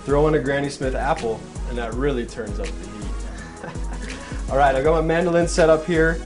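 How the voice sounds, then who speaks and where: A young man walks through a recipe in his own home kitchen, chatting casually as he works. His pitch sits in a middle range, and the narration stays relaxed and practical. Sizzling from a frying pan runs behind his voice. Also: normal speed, music in the background. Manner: relaxed step-by-step narration while cooking. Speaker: cooking show host. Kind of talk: casual cooking demonstration.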